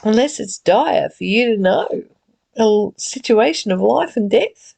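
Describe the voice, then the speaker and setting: A woman giving a reflective spiritual reading and teaching in an earnest, deliberate, gently exhorting way. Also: a little high, expressive, creaky, slightly rough. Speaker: reader and spiritual study teacher. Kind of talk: read-aloud spiritual text with reflective, informal commentary.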